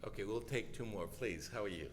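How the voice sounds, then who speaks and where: A man's voice: on the low side, brisk, in a large hall, noisy background. A man moderating a panel session in an informal way.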